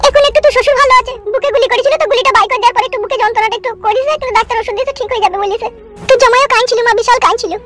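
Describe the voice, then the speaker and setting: A woman's voice: very high voice, rapid-fire, fairly bright tone, soft background music, pitched above the performer's own voice. A woman voicing a worried, scolding older village mother. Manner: nagging, scolding.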